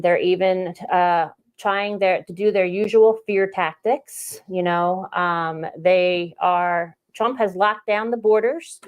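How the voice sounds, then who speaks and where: A woman hosting a political podcast, giving casual commentary in a halting, deliberate way. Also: on the low side.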